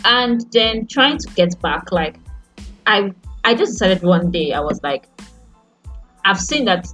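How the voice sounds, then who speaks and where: A young Nigerian woman with a Nigerian accent, a podcast host talking casually and haltingly, full of fillers and restarts. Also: medium pitch, expressive, fairly bright tone, faint music behind. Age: young adult. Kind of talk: casual chat.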